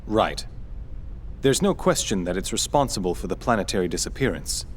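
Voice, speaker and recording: Composed, terse game officer; lowish voice, serious delivery, faint rumble behind. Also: male voice, expressive, normal speed. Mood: serious, guarded. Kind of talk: dramatic game cutscene dialogue.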